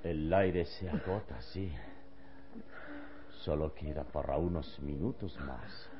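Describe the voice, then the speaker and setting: An actor playing a calm, commanding, noble hero with hypnotic powers in an old radio serial, measured and solemn. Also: male voice, a bass voice. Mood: grave, reassuring. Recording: dull old radio recording with music behind.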